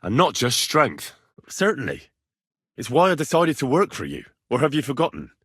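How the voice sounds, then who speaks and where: A man's voice: mid-range voice, huge pitch swings, normal speed, fairly bright tone, husky, dry recording, studio quality, heavily acted. A man playing a young, plain-spoken medieval adventurer in a video game, wry, earnest and easily exasperated. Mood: earnest, conversational. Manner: earnest, blunt, exasperated, conversational. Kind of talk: video game dialogue acting.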